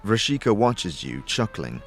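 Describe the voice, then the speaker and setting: A man narrating a fantasy adventure as a measured storyteller, in scripted second-person prose. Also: lowish voice, sing-song, gravelly, soft background music, exaggerated voice. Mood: measured, descriptive.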